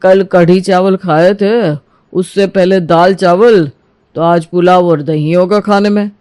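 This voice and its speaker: A man's voice: high-pitched, steady tone, slow pace, darkish tone, clean tone, no room sound, clean studio sound. A man voicing a grumbling, food-loving middle-aged father-in-law in a cartoon story, with a wry, hearty manner. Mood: wry, weary. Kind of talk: Hindi cartoon moral story dialogue.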